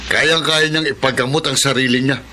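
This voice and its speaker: An actor playing a dismissive, indignant brother in a radio drama. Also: male voice, mid-range voice, fairly even intonation, fairly quick, pushed and bright.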